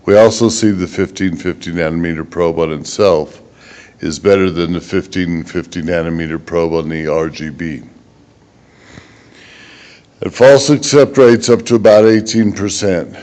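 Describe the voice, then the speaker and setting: Guy with a really deep voice doing a technical research talk, kinda halting and measured. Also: fairly even intonation, darkish tone. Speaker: researcher presenting a paper. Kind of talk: technical conference presentation.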